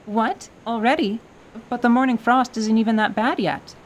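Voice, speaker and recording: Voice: medium pitch, normal speed, slight background noise, voice pushed up, close to an everyday voice. A performer voicing an eager, stubborn young winged girl with a pleading, youthful manner in an audio drama. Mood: dismayed.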